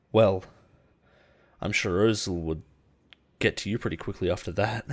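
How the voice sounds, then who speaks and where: Slow, low-voiced obsessive boss, acted by a man. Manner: slow, halting delivery with long pauses. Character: obsessive, possessive male boss confessing love to his assistant. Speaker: voice actor in audio roleplay. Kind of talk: intimate dramatic roleplay monologue.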